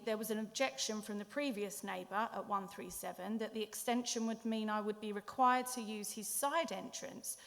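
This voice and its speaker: Woman applicant, firm prepared statement, mid pitch, hall echo. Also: a bit bright. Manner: firm, reads points in turn. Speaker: planning applicant. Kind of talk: prepared statement at a council meeting. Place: council chamber. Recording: long hall reverb.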